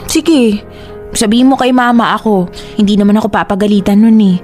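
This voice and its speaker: A woman's voice: medium pitch, fairly quick, darkish tone, lightly acted. A woman with a Filipino accent playing a young, teasing and sulky youngest sister in a radio drama, nagging and wheedling. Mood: nagging, suspicious. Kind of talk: Tagalog radio drama acting. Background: music.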